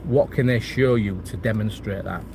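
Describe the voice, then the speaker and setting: A man's voice: on the low side, moderate pace, gravelly, darkish tone, a little hiss or hum. A middle-aged man with a cold, chatting casually on a livestream, rambling with lots of restarts and fillers. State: has a cold and chest infection. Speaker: property channel host. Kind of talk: casual livestream chat reading viewer comments.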